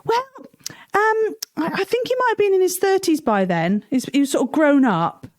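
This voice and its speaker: A woman's voice: very high voice, lively intonation, normal speed. A woman hosting children's Bible story time in an informal, conversational, exclamatory way. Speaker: host, storyteller.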